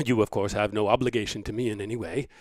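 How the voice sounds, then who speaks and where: Audio drama: solemn, solitary male scholar of faith played by a man, low voice, formal letter delivery, dry sound. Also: steady tone, fast talker, a little mellow, no room sound, close to an everyday voice. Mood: solemn, hopeful. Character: earnest, grieving, solitary male divinity scholar writing a letter.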